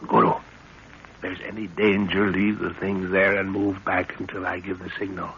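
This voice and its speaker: An actor playing an older, confident jungle explorer, hearty, brisk and fatherly, in a 1930s radio adventure drama. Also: sits low, flat delivery, fairly bright tone. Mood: hushed, urgent. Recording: dull, hissy 1930s radio recording.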